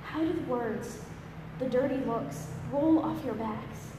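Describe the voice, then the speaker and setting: A woman in her thirties reading a poem aloud at a church service, solemn and measured with emphatic phrasing. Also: on the high side. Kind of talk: solemn poetry recitation. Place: large church interior. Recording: echoey church hall, faint background noise.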